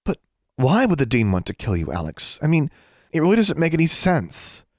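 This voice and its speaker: A man voicing an anxious, gentle and doubtful schoolgirl friend in an audiobook. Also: a little high, wildly swooping pitch, moderate pace, no echo, clean studio sound, pitched above the performer's own voice. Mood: skeptical. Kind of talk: audiobook character voice.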